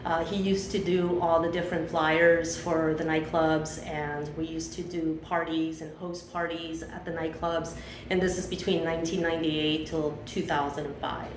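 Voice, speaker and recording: A woman's voice: low voice, little pitch movement, normal speed, roomy sound, slight background noise, rough recording. A woman in her fifties from Chile who grew up in Miami, telling her life story in a rambling, chatty way as an interview guest. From Chile, raised in Miami. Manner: chatty, rambling with many fillers.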